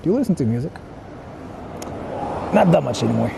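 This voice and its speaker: A man's voice: a little high, sing-song, fairly quick. A man from Japan chatting casually on a livestream, rambling and thinking aloud in informal language with some swearing. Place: outdoors on a street, walking. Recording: clear outdoor street and traffic noise.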